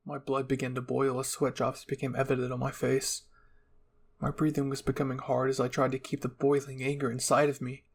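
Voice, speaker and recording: Gravelly male story reader. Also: medium pitch, monotone, fairly quick. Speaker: fan fiction reader. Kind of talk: first-person dramatic story narration.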